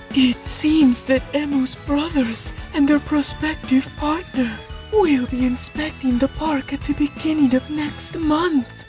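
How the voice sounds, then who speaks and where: A woman voicing a calm, courteous, scheming young male show director in an anime fan dub, measured and polite. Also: high-pitched.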